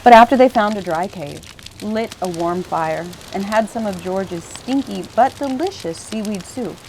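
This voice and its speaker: A woman reading a picture book aloud as an expressive storyteller. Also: mid-range voice, a little slow, background noise.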